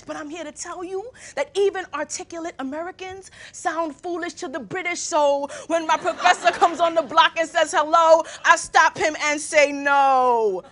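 Young woman, very high pitch, bright forceful tone, spoken word poet, emphatic rhythmic phrasing, deliberate diction. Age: young adult. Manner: emphatic, rhythmic, declamatory delivery. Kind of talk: performed spoken word poetry.

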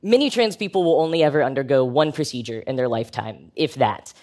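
A man's voice: mid-range voice, lively intonation, fast talker, darkish tone. An American man delivering a prepared live talk in a conversational, wry and emphatic way, as a public speaker with a humorous, educational bent.

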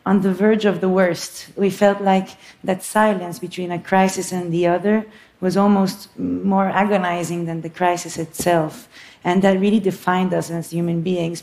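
A woman in her thirties from Lebanon, a filmmaker giving an earnest, reflective talk on stage with soft pauses. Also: fairly low pitch.

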